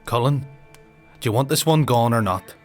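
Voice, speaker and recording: An actor playing a blunt, eager henchman in a dark fantasy audio drama. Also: mid-range voice, moderate pace, faint music behind, lightly acted. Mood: impatient.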